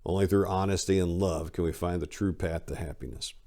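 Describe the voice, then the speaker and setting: A man with a fairly low voice performs a dramatic reading from a book. He delivers his lines deliberately, in a declamatory way, as an audiobook reader addressing the listener. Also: steady tone, warm tone. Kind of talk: dramatic reading of a book's acknowledgments.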